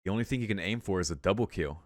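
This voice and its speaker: Low-voiced man reading aloud over music. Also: racing. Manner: excited, casual, swearing reactions. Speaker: visual novel let's play reader. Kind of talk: reading story narration aloud with casual live reactions. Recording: music behind the voice.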